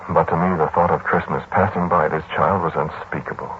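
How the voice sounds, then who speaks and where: A muffled, noisy 1940s radio recording of a brooding, scholarly lodger. His voice is very low, and he tells his haunted tale in a ruminative, halting, theatrical way. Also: steady tone. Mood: wistful. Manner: hesitant, ruminative, theatrical pauses. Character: brooding male mathematician lodger recounting a haunting mystery. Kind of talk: 1940s radio drama narration.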